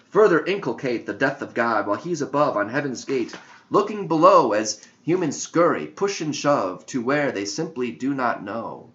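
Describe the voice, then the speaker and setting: A man narrates an audiobook story in verse with solemn, poetic phrasing. His mid-range voice moves with lively intonation. The recording sounds a bit dull and lo-fi. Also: mid-range voice. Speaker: author reading his own verse story.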